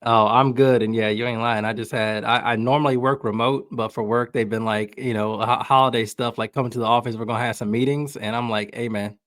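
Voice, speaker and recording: A man's voice: medium pitch, fairly even intonation, a little fast, hardly any pauses, fairly bright tone, no room sound, studio quality. An American man speaking as a podcast guest, giving informal, wry, conversational sports commentary. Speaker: podcast guest, soccer journalist.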